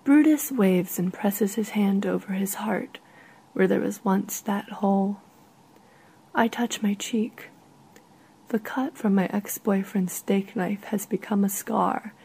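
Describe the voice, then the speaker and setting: A woman reading a literary short story in a soft, measured way, with a husky, breathy voice. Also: medium pitch, poor audio.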